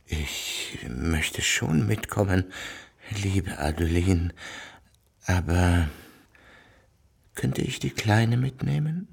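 An actor playing a shabby, weak-willed old baron in a radio drama, speaking in a hesitant, subdued, husky voice. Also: male voice, sits low, expressive, extremely slow, long pauses, full character voice. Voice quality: gravelly, husky. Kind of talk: German radio drama acting.

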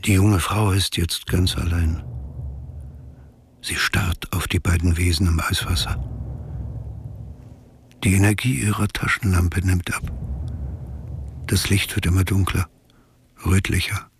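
An actor playing a grave, detached storyteller, narrating a radio drama in a measured, sombre way. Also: male voice, sits low, little pitch movement, a little hiss or hum.

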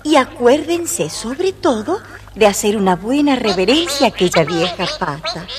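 A woman playing a fussy, loving mother duck in a children's storybook recording, expressive and motherly. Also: a little high, moderate pace, a crowd in the background. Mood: proudly instructing.

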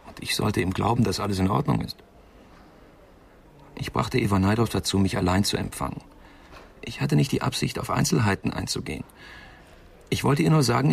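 An actor playing a troubled young man giving a halting, confessional account in a German radio crime drama, with a creaky voice. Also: medium pitch. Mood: exasperated, then defensive. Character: distressed young man, witness worried about his sick friend. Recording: faint background noise and ambience.

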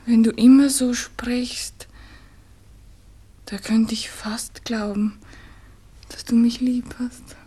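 An actress playing a devoted, anxious young woman in a 1960s radio play, with a Viennese accent and a soft, hushed, pleading way of speaking. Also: fairly high pitch, fairly even intonation, slow, pauses often, slightly rough. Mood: tender resigned devotion. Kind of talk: 1960s German-language radio play acting.

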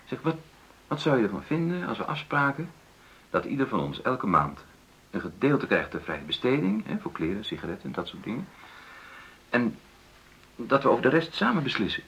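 An actor playing a hesitant, placating middle-aged husband in a marital argument in a radio play. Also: male voice, medium pitch, sing-song, moderate pace, pauses often, fairly bright tone, husky, exaggerated voice, low-quality recording. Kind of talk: naturalistic Dutch radio play dialogue.

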